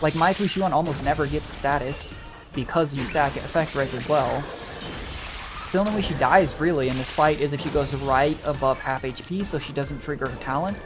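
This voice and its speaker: A man's voice: on the high side, normal speed. A young man giving casual, rambling, conversational gameplay commentary as a streamer. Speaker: game streamer. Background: game music.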